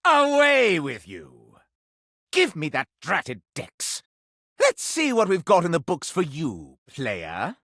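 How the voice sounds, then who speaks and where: A man's voice: a little high, wildly swooping pitch, takes it slowly, gravelly, sharp tone, no room sound, studio quality, heavily acted. A man playing a flamboyant living candelabra in a video game, a prudish gossip who speaks in pompous, archaic, melodramatic language, forever scandalized. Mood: imperious, hungry. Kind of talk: theatrical video game character acting.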